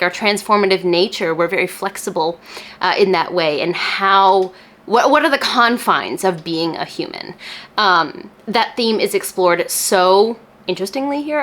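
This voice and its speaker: A woman's voice: lowish voice, fairly bright tone. A woman chatting casually to camera as a book reviewer, enthusiastic and emphatic, with informal phrasing and casual swearing.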